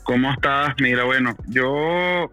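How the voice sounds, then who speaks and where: A man's voice: mid-range voice, slow, pushed and bright, faint music behind. A man chatting as a guest, earnest and repeating words as he searches for them. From Venezuela. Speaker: guest photographer. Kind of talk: casual conversation.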